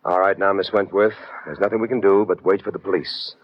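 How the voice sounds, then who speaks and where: A dull, vintage radio recording carries a hard-boiled detective. His voice is on the low side, and his manner is wry and businesslike, in the style of a classic radio crime drama. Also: fairly even intonation, fairly quick. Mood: calm, brisk. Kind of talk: old-time radio detective drama acting, second-person narration.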